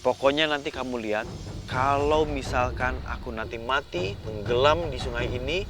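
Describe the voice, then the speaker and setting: A man's voice: medium pitch, moderate pace, background noise, lightly acted. A man voicing a distressed princess wife in a folktale, pleading and earnest. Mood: solemn, insistent.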